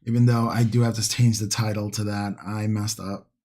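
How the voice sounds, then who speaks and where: A man hosting a casual painting livestream, chatting to viewers in an unhurried, rambling way as if musing to himself, with a husky, gravelly voice. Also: on the low side, little pitch movement, darkish tone. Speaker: livestream host, miniature painting hobbyist.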